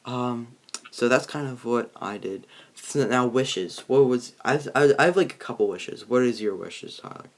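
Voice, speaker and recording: A young man hosting a casual film discussion, feeling his way through sentences and rephrasing as he goes. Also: medium pitch. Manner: halting, rephrasing often. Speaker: host, video reviewer.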